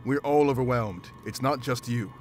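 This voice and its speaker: A man's voice: medium pitch, moderate pace, a little mellow, slight background noise, natural voice. A man voicing a stern, protective wolf-like warrior in a video game, speaking in a firm, commanding way. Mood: stern, insistent.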